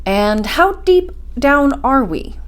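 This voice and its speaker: Woman reading as a curious, excitable young nephew. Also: sits high, takes it slowly, pitched above the performer's own voice, heavily acted. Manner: questioning, excitable.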